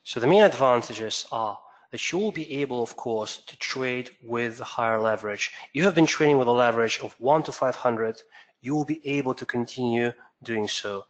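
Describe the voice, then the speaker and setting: A man's voice: medium pitch, moderate pace, poor audio. A man presenting a trading webinar, explaining steadily, with occasional fillers and self-corrections. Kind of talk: instructional webinar talk.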